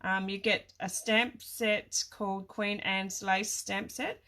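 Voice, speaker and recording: A middle-aged Australian woman with a croaky voice from a cold, chatting casually as she hosts a craft livestream in a hesitant, rambling way. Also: medium pitch, steady tone, slow pace, bright, forceful tone. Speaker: craft demonstrator and livestream host. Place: small home craft room.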